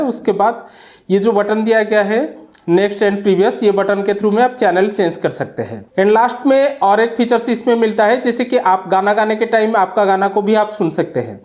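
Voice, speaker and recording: A man's voice: high voice, a little mellow. Man explaining a gadget step by step. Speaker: tech product reviewer. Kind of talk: product demonstration and review.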